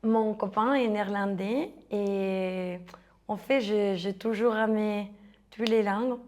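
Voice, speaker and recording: A woman's voice: medium pitch, fairly even intonation, slow pace, a little mellow. A woman from Colombia, native in Spanish, answers casually and hesitantly. Her voice sits in a middle range. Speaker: guest. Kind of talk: casual interview.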